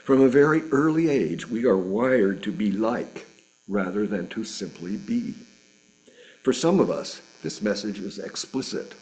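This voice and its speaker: Male sermon reader, mature, lowish pitch, very animated melody, deliberate scripted delivery with weighted pauses. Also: poor audio. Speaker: reader of a sermon at a church service. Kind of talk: sermon read aloud from a script.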